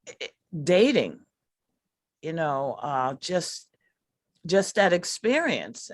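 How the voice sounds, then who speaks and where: A woman teaching artist reflecting in a discussion, emphatic but pausing often to search for words and repeat phrases. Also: low voice, no echo, studio quality. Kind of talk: reflective post-show discussion.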